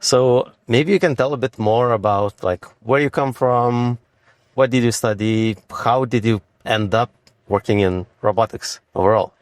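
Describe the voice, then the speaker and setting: A man's voice: mid-range voice, measured pace, dry recording. A man hosting an interview show, asking questions in a casual conversational style. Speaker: podcast host, interviewer.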